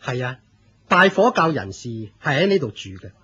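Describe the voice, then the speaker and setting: An actor playing a proud, fervent leader of a fire cult in a Hong Kong Cantonese radio drama, declaiming with pompous authority. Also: male voice, medium pitch, very animated, moderate pace, pushed and bright, slightly rough, highs cut off, heavily acted. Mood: authoritative.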